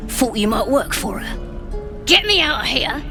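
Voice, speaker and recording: An actress playing a cocky, zealous goblin prisoner in a video game, wheedling and boasting in colloquial speech. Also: female voice, a little high, very animated, measured pace. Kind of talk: video game character acting. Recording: music playing behind.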